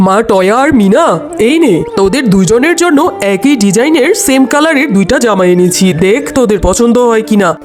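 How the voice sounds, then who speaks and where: Earnest cartoon dad, male performer: firm but caring, fair-minded, admonishing delivery over soft music. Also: high-pitched, animated, a little fast. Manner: earnest, scolding and reasoning. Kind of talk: cartoon dialogue.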